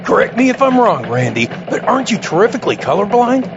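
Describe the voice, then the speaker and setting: A man's voice: sits high, sing-song, fast. A man playing an earnest, formal local TV news anchor in a comedy radio bulletin. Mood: questioning. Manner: formal, questioning anchor delivery. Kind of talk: mock special news bulletin. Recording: dull lo-fi audio with music behind.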